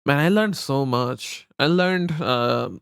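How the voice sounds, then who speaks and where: Guy chatting on stream, slow n halting, repeats himself, close dry mic. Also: mid-range voice, animated, vocal fry, a bit bright, clear, resonant tone, dry recording. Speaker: live streamer and host. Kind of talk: casual livestream chat with viewers. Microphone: close streaming microphone.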